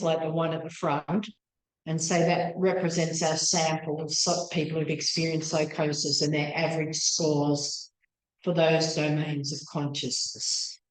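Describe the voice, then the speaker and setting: The low, tiring voice of a woman researcher feeling her way apologetically through an evening society lecture in an echoey meeting room. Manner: tentative, self-questioning, apologetic. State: losing her voice, throat-clearing. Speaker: PhD researcher presenting a talk. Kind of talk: academic lecture. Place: indoor meeting room with audience.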